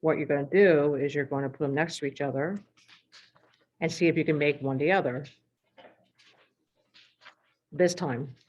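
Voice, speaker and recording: A woman teaching a math lesson while losing her voice, clearing her throat and walking through examples step by step. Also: low-pitched, fairly even intonation, normal speed, long pauses, a little mellow.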